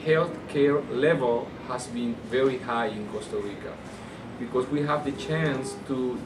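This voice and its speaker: A man from Colombia, a native Spanish speaker with a Colombian accent, answers interview questions as a surgeon in halting phrases with repeated words. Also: mid-range voice. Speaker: orthopedic surgeon being interviewed. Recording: roomy, distant mic, air-conditioner hum.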